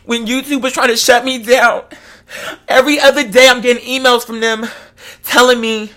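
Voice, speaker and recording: Casting: online host, twenties, medium-pitched voice, candid emotional monologue, heavy slang and profanity, emphatic repetition. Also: little pitch movement, normal speed, bright, forceful tone. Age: 20s. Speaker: online content creator and live show host.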